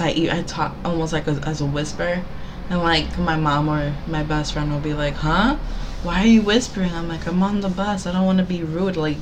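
A young woman with a very low voice chats casually, speaking softly but bluntly and swearing without a second thought. A faint noise sits behind her voice. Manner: blunt, swears freely, soft-spoken. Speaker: co-host. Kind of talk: casual chat.

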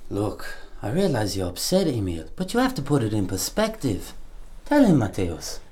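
A man's voice: fairly low pitch, wildly swooping pitch, normal speed, mellow. An actor playing a young, pragmatic male activist in a radio drama, talking in an emphatic, persuasive way. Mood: measured. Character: young male Berlin activist, pragmatic, insistent, defensive under pressure.

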